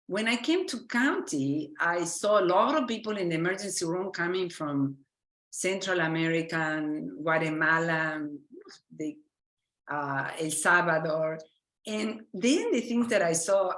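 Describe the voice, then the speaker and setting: A woman with an Argentine Spanish accent gives a lecture in a fairly low voice. She speaks earnestly and keeps restarting her sentences while she hunts for the right word. The room is echoey. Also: fairly bright tone. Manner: earnest, searching for words, frequent restarts. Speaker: physician lecturer. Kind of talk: lecture presentation.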